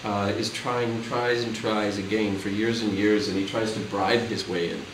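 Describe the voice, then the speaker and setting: A man casually explaining a puppet show in a halting, informal way. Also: on the low side, fairly even intonation. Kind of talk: casual explanation to camera visitor. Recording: echoey room, distant mic, loud background noise.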